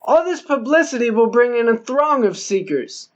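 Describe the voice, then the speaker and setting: A man's voice: very high-pitched, animated, moderate pace, highs cut off, voice pushed up. A man voicing a pompous, scheming male cult leader who speaks in grand, formal wording. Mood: grandiose.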